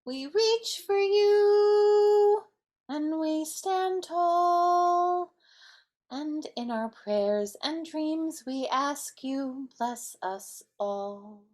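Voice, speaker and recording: A woman's voice: high-pitched, expressive, extremely slow, darkish tone, exaggerated voice. A woman voicing an earnest, sweet Muppet family member in an amateur dramatic reading. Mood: tender, singing.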